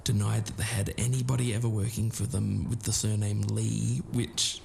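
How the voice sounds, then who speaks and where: A man with an Australian accent talking casually in a hushed near-whisper as a podcast co-host. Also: on the low side, little pitch movement, moderate pace, gravelly. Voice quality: hushed, failed attempt at whispering. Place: quiet university library. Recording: echoey, faint background noise.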